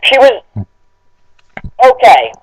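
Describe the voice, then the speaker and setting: A man's voice: high-pitched, wildly swooping pitch, extremely slow, a little hiss or hum, poor audio. A man in casual banter as co-commentator, puzzled, interjecting with questions and corrections.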